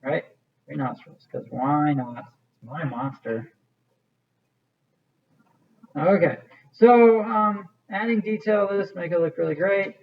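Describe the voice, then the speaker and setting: A man's voice: on the high side, takes it slowly, vocal fry, pauses often, lo-fi sound. A man teaching an art class, walking students through a clay project in a casual, rambling way with many fillers. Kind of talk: classroom demonstration.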